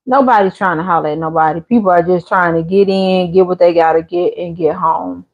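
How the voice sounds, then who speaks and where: A woman's voice: fairly low pitch, a little fast, a little mellow, highs cut off. A woman, casually chatting on her channel's live stream and answering viewers' comments. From Chicago area. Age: 50s. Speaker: channel host.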